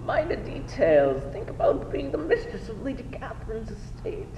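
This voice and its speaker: A woman's voice: on the high side, very animated, normal speed, mellow, far from the mic. A woman playing an awkward, self-important male suitor with wordy, pompous delivery in a 1920s radio drama parody. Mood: awkwardly hopeful. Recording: muffled, echoey, off-mic, faint hiss.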